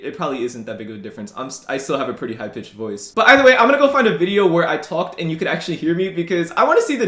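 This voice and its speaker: Young male YouTuber, 19, lively high-ish voice, rambling casual chat, echoey room. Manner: rambling, candid, lots of fillers and restarts. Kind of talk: casual vlog commentary. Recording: roomy echo.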